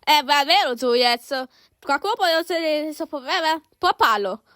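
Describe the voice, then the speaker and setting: A woman's voice: high voice, animated, measured pace, bright, forceful tone, no echo, studio quality. A woman doing an amateur cartoon fan dub, acting out several characters in an exaggerated, exclamatory way.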